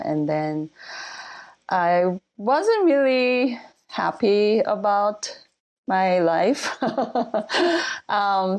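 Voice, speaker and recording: A woman from Korea, a native Korean speaker, telling her story as a guest in a casual interview, with many pauses and fillers. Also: lowish voice, vocal fry, a little mellow. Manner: thoughtful, many pauses and fillers, light laughs. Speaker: guest lighting designer.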